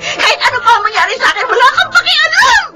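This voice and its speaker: A woman's voice: extremely high pitch, big pitch swings, quick pace, bright, forceful tone, heavily acted. A young woman with a Filipino accent playing a bitter, neglected teenage daughter in an emotional radio drama. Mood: tearful rage. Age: young adult. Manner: emotional, tearful outbursts. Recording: music under the voice.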